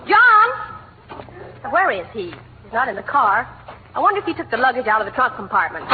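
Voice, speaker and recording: An actress playing a wide-awake, fussy, nagging wife who longs for romance in a 1940s radio comedy. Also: female voice, high-pitched. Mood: scolding. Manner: scolding, fussy, aggrieved. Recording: tinny, noisy old 1940s radio recording.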